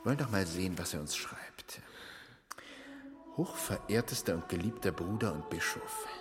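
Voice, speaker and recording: An actor playing a calm, cautious confidant in a radio drama, soothing and measured. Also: male voice. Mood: quiet, coaxing. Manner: soothing, measured, low-key.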